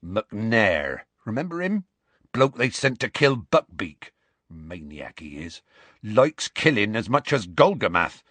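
Huge gruff bloke for an audiobook, raspy, rambly, warm hearted, really animated. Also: male voice, lowish voice, slow, no room sound, heavily acted. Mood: earnest explaining. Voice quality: gruff, growly. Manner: colloquial, rambling, dropped consonants. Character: big gruff rustic man, warm-hearted, indignant storyteller.

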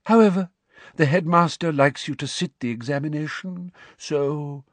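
A man's voice: on the high side, moderate pace, no echo, pitched above the performer's own voice, natural voice. A man voicing a misty, dreamy, lofty woman teacher of divination in an audiobook, trailing off delicately. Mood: airy, reluctant. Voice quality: misty, breathy delivery.

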